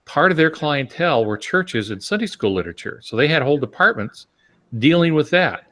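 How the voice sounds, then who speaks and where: A man's voice: a little high, very animated, fairly quick, sharp tone. Male podcast panelist, conversational explainer who reasons step by step and poses rhetorical questions. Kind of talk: casual explanatory discussion.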